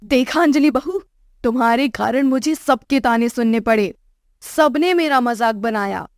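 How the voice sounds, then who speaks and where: A woman's voice: fairly high pitch, unhurried, no room sound, clean studio sound. A woman voicing a haughty, scolding middle-aged mother-in-law in a cartoon moral story. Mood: exasperated. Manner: haughty, nagging, insulting.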